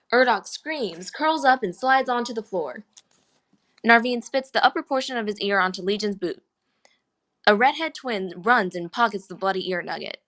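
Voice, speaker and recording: A woman's voice: a little high, a bit bright. A woman reading scripted screenplay action lines as the narrator of a table read.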